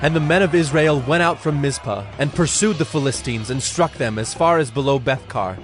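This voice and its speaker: A man narrating scripture from a script in a measured, solemn storytelling manner. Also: a little high, soft background music.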